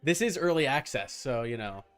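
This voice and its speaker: A man's voice: mid-range voice, lively intonation, normal speed, fairly bright tone, faint music behind. A man giving casual live gaming commentary as a streamer, informal, with muttered asides and mild swearing. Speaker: video game streamer.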